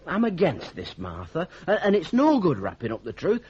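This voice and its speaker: Hesitant, husky, gormless Northern son. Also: male voice, a little high, huge pitch swings, normal speed, darkish tone, exaggerated voice. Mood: plaintive. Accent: Northern English. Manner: hesitant, stammering, rambling protest. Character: gormless, reluctant young son, soft-hearted and helpless. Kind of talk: 1980s British radio drama acting. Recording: dull, muffled old radio recording.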